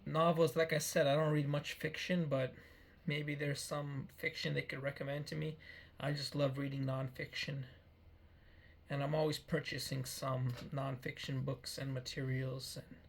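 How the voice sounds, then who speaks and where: Gravelly, flat-voiced man in his thirties. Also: mid-range voice, a little slow. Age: 30s. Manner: meandering, repeats himself, restarts phrases. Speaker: vlogger. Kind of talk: rambling personal life update.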